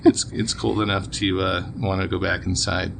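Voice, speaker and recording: A man's voice: low voice, fairly even intonation, moderate pace, vocal fry, a little hiss or hum. A man from Wisconsin hosting a casual interview podcast, easygoing and chuckling as he asks follow-up questions. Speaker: podcast host.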